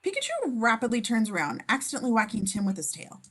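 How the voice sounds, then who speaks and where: A woman's voice: a little high, expressive, fast. A woman reading screenplay scene descriptions aloud as the narrator of a table read.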